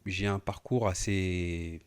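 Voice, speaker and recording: A man in his thirties, born in Portugal and raised in France, co-hosting a podcast and telling his life story in a relaxed, familiar way with lots of fillers. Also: sits low, slow, clean tone. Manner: relaxed, familiar, frequent fillers and repeated "tu vois". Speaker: podcast co-host, entrepreneur. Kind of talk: casual conversational storytelling.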